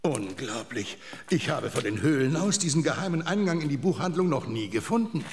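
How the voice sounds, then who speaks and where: A creaky, gravelly old grandpa in a children's radio play. He is sceptical and easily amazed, his pitch lively and varied, with noticeable noise behind him. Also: a little fast. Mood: bewildered, amazed. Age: older. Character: grumbly, astonished old grandpa, sceptical but imaginative. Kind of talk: children's audio drama acting.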